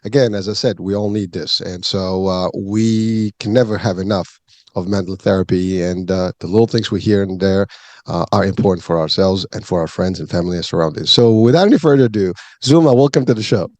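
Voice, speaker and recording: A man's voice: low-pitched, brisk, a little mellow, no echo. A man hosting a wellness interview show, speaking in rambling, hesitant runs with many fillers. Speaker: podcast host.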